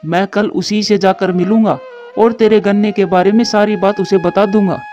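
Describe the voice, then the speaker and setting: A man's voice: high-pitched, fairly even intonation, moderate pace, a little mellow, lo-fi sound, faint music behind, lightly acted. A man voicing a helpful, dutiful crow in a children's cartoon fable, speaking earnestly and emphatically. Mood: friendly, explaining. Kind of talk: Hindi cartoon voice acting.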